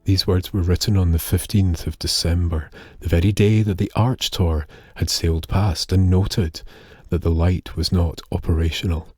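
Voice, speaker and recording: A man narrating an eerie scripted tale in a solemn, measured, dramatic way with pauses. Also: low voice, steady tone, darkish tone, breathy edge, no room sound. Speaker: storyteller, narrator. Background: music.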